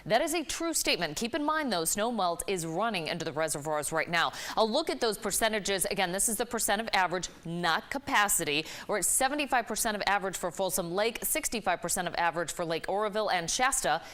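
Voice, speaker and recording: A woman's voice: mid-range voice, expressive, fast, hardly any pauses, a bit bright. Conversational American woman presenting weather. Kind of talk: ad-libbed broadcast weather report. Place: TV news studio.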